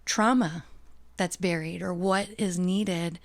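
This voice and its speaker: A woman's voice: lowish voice, steady tone, slow. A middle-aged American woman talking as a podcast guest, reflective and earnest, laughing easily. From United States. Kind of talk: casual interview talk.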